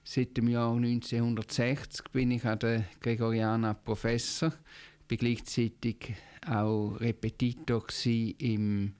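An older man from Zurich with a Swiss German accent, answering radio interview questions in a measured way with frequent pauses and fillers. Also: mid-range voice, little pitch movement, a little mellow, clear, resonant tone. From Zurich, Switzerland. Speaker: guest, Jesuit professor.

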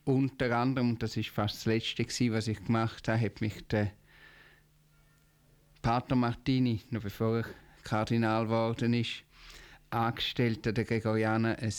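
An older man from Zurich with a Swiss German accent, answering radio interview questions in a measured way with frequent pauses and fillers. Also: medium pitch, smooth. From Zurich, Switzerland. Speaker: guest, Jesuit professor.

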